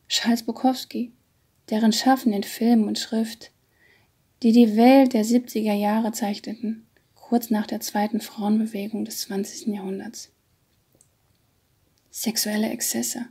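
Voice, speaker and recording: A woman reading scripted literary narration in a hushed, breathy, half-whispered voice. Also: on the high side. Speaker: audiobook narrator.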